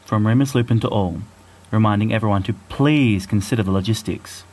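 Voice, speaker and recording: A man's voice: lowish voice, fairly quick, a little mellow. A man reading a story aloud as scripted narration. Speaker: fan fiction reader.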